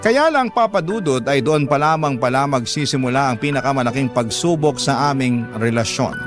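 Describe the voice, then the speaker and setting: A man's voice: medium pitch, animated, moderate pace, lightly acted. A man playing an aggrieved boyfriend who narrates his troubled relationship in a radio drama. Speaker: narrator reading a letter sender's story in the first person. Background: music.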